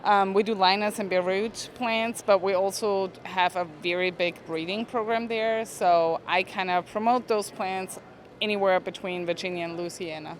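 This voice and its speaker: A young woman from Austria, chatting casually about plants in an interview at a trade show, relaxed and soft-spoken. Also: medium pitch, moderate pace. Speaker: plant product manager.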